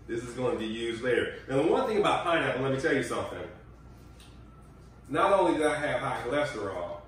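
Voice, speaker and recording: A man's voice: medium pitch, a bit bright, slight background noise. A man in his forties hosting a casual cooking demonstration in a home kitchen, chatty and coaching as he gives health tips. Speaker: cooking show host. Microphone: far camera mic. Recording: echoey kitchen from far off.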